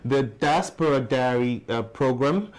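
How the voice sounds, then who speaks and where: Guy hosting a live talk show, average pitched voice, doing interviews. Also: unhurried. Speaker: programme host.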